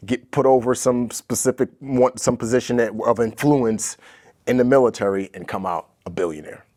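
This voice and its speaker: An American man in his thirties, a podcast guest telling stories in conversation, animated and laughing between points. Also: mid-range voice, normal speed. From United States. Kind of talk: storytelling in conversation.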